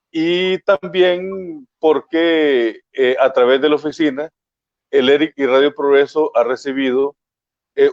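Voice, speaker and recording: A man hosting a radio interview program, speaking with many pauses and filler sounds. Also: a little high, a little mellow, no echo, dull audio.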